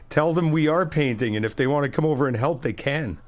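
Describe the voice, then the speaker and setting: A man doing a friendly ASMR roleplay, chatty and teasing, reassuring the listener as he helps out. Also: a little high. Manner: reassuring, helpful.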